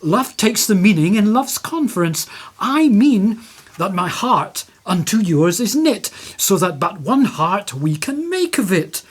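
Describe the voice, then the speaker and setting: A woman playing an earnest, coaxing young man in love, in a husky voice, for a Shakespearean radio play. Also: medium pitch, sing-song, measured pace. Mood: coaxing, amorous. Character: ardent young male lover, earnest and coaxing.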